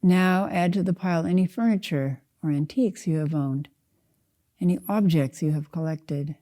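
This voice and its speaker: An older woman leading a guided meditation as a Zen teacher, speaking in a calm, unhurried, measured way with spaced-out phrases. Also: fairly low pitch.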